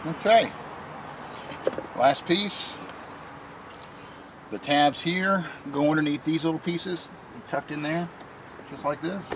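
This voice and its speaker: A man's voice: on the high side, slow, pauses often, background noise. A man presenting a DIY video, talking casually and in a folksy way through each step as he works in or beside a vehicle. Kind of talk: casual hands-on tutorial narration while working.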